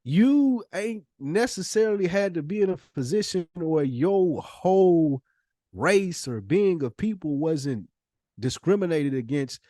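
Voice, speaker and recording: A man's voice: on the high side, lively intonation, unhurried, mellow, no echo, clean studio sound. A man from the United States talking as a podcast host in casual, opinionated chat, slangy and emphatic in his delivery.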